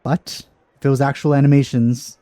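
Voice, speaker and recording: Mellow man doing casual game commentary. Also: mid-range voice, little pitch movement, brisk, no echo. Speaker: gaming YouTuber. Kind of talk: casual let's play commentary.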